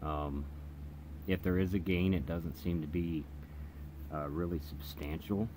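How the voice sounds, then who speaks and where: A man giving a casual, unscripted hands-on product demonstration in a halting, hesitant way, as a hobbyist reviewer. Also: sits low, fairly even intonation. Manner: hesitant, halting delivery with trailing phrases. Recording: clear background noise in room.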